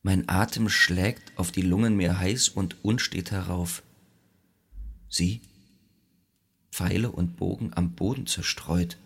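An actor playing an anguished Greek hero in a theatrical radio drama, husky and gravelly, halting and declamatory between whispers and cries. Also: male voice, sits low, steady tone, a bit bright, heavily acted. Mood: dazed.

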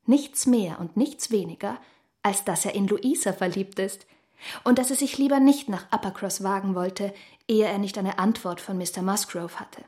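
A woman playing a chattering, snobbish young married gentlewoman reading out her letter in a period radio play, with emphatic delivery. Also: medium pitch, moderate pace, lightly acted. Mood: gossipy, emphatic.

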